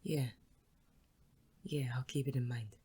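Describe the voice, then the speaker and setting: A performer playing a world-weary, self-doubting male private eye and war veteran in an audio drama, gruff, hesitant and prone to swearing. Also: medium pitch, steady tone, unhurried, dark tone, lightly acted. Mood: hesitant, softening. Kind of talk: intimate audio drama dialogue. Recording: clearly noisy background ambience.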